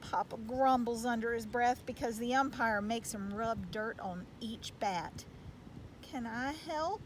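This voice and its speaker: A woman reading a children's storybook aloud in an expressive narrating style, like a school educator. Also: on the high side, a little slow, far from the mic, a little hiss or hum, low-quality recording.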